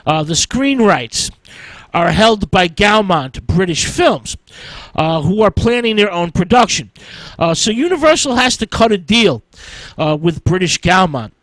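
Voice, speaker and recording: A man hosting a film history show, telling the story in an informal lecture style, in halting, choppy short phrases. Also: high-pitched, expressive, a bit bright, no room sound, rough recording.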